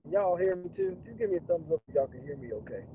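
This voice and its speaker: A hoarse man whose voice is nearly gone, hosting a casual livestream reaction from a moving car and often clearing his throat and coughing. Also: a little high, huge pitch swings, fast talker. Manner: keeps clearing throat and coughing, loose rambling talk. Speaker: channel host. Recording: tinny phone-line sound with faint car noise. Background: faint car and road noise.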